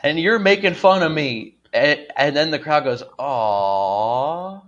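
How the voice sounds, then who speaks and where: A man with a pronounced stutter telling stories casually as a guest comedian, halting and repeating sounds, with chuckles in his speech. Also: a little high, lively intonation, fairly bright tone, dull audio. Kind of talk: casual comic storytelling on a podcast.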